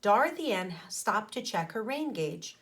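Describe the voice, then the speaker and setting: A woman teacher reading a children's story aloud expressively, pausing to explain things to her young listeners. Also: medium pitch, big pitch swings, fairly bright tone. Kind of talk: animated storybook read-aloud with asides to children.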